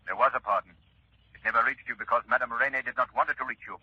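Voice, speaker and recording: A man's voice: medium pitch, fairly even intonation, quick pace, bright, forceful tone, husky, heavily acted. An actor playing a mysterious unseen man who speaks solemnly and with command, in 1930s radio drama style, on a dull, dry old broadcast.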